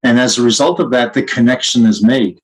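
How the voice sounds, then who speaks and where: Male podcast co-host, mid pitch, reflective and anecdotal conversational delivery. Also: fairly even intonation, a little fast, rough recording. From Canada.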